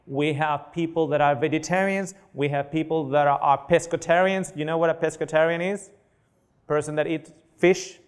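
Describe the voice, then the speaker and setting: Spanish accent guy teaching a nutrition seminar, kinda high smooth voice, chatty, keeps quizzing the crowd. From Spain. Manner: chatty, asks the audience questions, joking asides. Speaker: clinical nutritionist giving a seminar. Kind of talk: live seminar talk to an audience.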